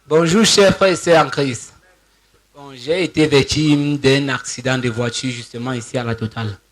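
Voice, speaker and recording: A man's voice: mid-range voice, moderate pace, very noisy. A man giving a spontaneous personal testimony as a church member, narrating step by step with repeated linking words.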